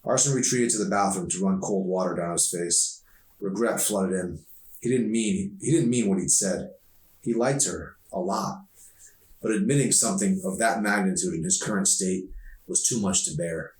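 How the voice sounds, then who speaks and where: A low-pitched man narrates a novel as its author, in an expressive storytelling style. The recording is roomy and echoey, with him a little away from the mic. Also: normal speed. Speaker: author reading his novel. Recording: echoey room, distant from mic.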